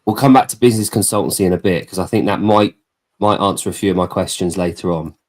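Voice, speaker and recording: A man hosting a podcast, relaxed and chatty, prompting his guest with questions and frequent fillers. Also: low voice, quick pace. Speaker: podcast host. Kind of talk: casual interview chat.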